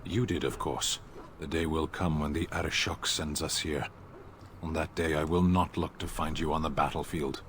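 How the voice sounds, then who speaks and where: An actor playing a stern, stoic warrior in a video game, speaking in a terse, solemn deadpan. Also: male voice, rumbling low, moderate pace, a little hiss or hum. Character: stern, stoic male giant warrior soldier. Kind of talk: video game dialogue acting.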